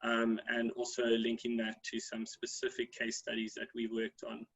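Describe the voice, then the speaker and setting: A man's voice: medium pitch, monotone, normal speed, vocal fry, a bit bright, husky. A man presenting as a consultant on a video call, speaking hesitantly with many ums and restarts.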